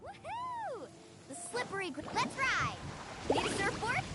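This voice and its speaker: A woman voicing an exuberant young surfer girl in a video game, calling out excitable short battle cries. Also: very high voice, measured pace, noisy background, full character voice. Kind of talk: video game character voice lines. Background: loud game music and hit effects.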